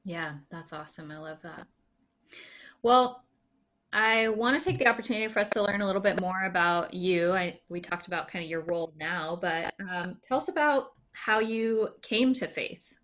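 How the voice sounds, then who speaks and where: Woman, interviewer, slightly low pitch, casual conversational style, video call. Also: normal speed, fairly bright tone, slight background noise. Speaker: interviewer, church project associate director.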